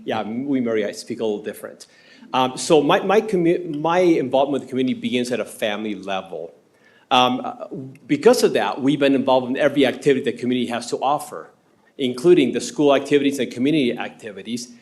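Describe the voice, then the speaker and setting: A man's voice: medium pitch, animated, a little mellow. A man in his fifties from Argentina with an Argentine Spanish accent, a city council candidate earnestly answering an interview question at a podium microphone in a council chamber. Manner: earnest, halting with frequent uh fillers.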